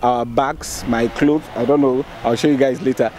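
A man in his forties talks casually to the camera outdoors in open countryside, pausing on fillers as he goes. His voice sits at an ordinary middle pitch. A steady hiss runs under the recording. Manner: relaxed, hesitant with many fillers. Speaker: travel vlogger. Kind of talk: casual travel vlog narration.

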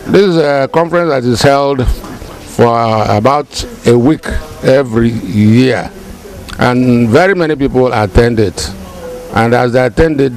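Elder male interviewee, seventies, Nigerian accent, unhurried pace, measured answers. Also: mid-range voice, a little mellow, low-quality recording. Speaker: traditional ruler, interviewee. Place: indoor sitting room. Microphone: handheld interview microphone.

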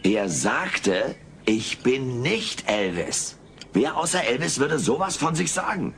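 An actor playing a stubborn, cheeky alien in a dubbed sitcom audio play, theatrical and full of wisecracks. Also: male voice, mid-range voice, big pitch swings, takes it slowly, fairly bright tone, slight background noise, exaggerated voice. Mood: wisecracking. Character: cheeky, stubborn male alien, wisecracking pop-culture fan.

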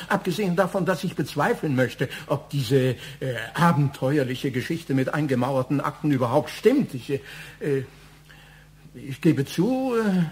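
Proud elderly father-in-law for radio crime drama: formal, emphatic, stern, voice on the higher side. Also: a little mellow. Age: older.

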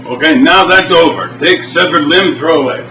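A man playing deadpan broken-English how-to host. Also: fairly high pitch, normal speed, loud music behind the voice, natural voice. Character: blunt deadpan foreign man giving brutal how-to lessons. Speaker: comedy sketch character host. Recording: roomy echo, distant mic, ambient noise.

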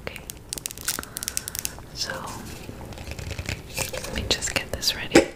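A young adult woman performing a whispered ASMR roleplay close to the mic, gentle and soothing as a friendly witch. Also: slow pace, poor audio. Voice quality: breathy, unvoiced whisper.

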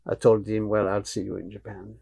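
An older man with a French accent, telling stories in a relaxed interview in a small studio room, blunt and animated, on a lapel mic. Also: on the low side, brisk, creaky, slightly rough. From France. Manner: blunt, animated, gestures with hands while talking. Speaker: guest, martial arts teacher. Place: small studio room with wooden cabinets, indoors.

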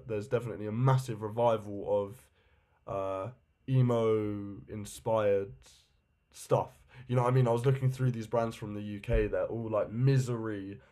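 A man's voice: medium pitch, warm tone. Young man, laid-back, slangy, casual video call. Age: young adult. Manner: laid-back, slangy, says "you know what I mean". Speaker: interviewer. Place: bedroom indoors. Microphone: webcam video call.

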